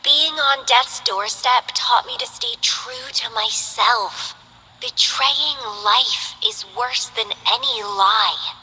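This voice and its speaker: A woman's voice: on the high side, takes it slowly, sharp tone, husky, in a large hall, faint music behind, exaggerated voice, rough recording. A woman voicing a languid, bored young mercenary who loves plants, in game character voice lines with a lazy, teasing drawl.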